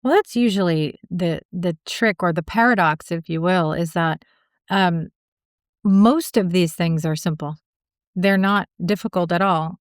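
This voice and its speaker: A woman's voice: lowish voice, measured pace, dry recording, studio quality. A woman hosting a podcast interview, speaking in an earnest, thoughtful way. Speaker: podcast host. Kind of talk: interview conversation.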